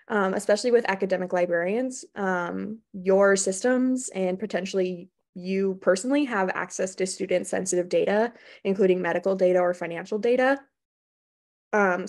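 A young woman with an American accent presents like a librarian talking through slides. Her voice sits at a medium pitch. She explains things informally and hesitates with ums, speaking into a computer microphone on a video call. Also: a little mellow. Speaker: academic librarian presenting at a conference. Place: small indoor room on a video call.

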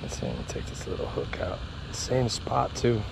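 A man giving casual running commentary to viewers while fishing at a park pond, getting excited over each catch. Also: lowish voice, moderate pace, gravelly. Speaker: fishing vlogger. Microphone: head-mounted action camera. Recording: outdoor camera audio with noise.

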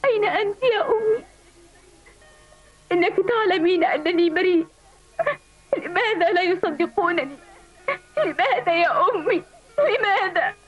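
A woman's voice: very high voice, lively intonation, a little slow, pauses often. A woman voicing an innocent, earnest little boy bee who pleads plaintively in a dubbed cartoon. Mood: tearful. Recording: dull lo-fi old cartoon soundtrack. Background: music.

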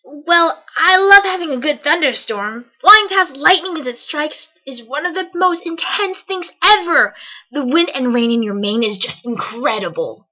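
A woman's voice: high voice, expressive, a little slow, sharp tone, heavily acted, poor audio. A woman voicing a cocky young female cartoon pegasus pony, confident and casual. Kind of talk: amateur cartoon fan audio drama.

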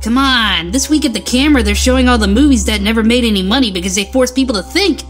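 A woman's voice: a little high, fast, soft background music, lightly acted. A woman playing a casual, level-headed young friend in a dramatized comic. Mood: casual, dry.

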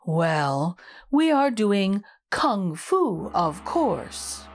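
A wise old broccoli master from a storybook, played by a woman. The voice drops lower than the narration, measured, amused and a little patronising. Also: big pitch swings, heavily acted.

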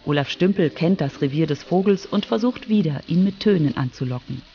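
Creaky-voiced radio feature narrator. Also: medium pitch, lively intonation, brisk, warm tone, dull audio, slight background noise. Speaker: narrator, reporter.

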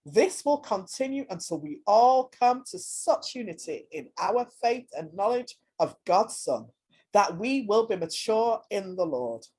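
A woman reading scripture aloud in a deliberate, declamatory way. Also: mid-range voice, a little mellow, slightly rough, low-quality recording. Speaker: scripture reader.

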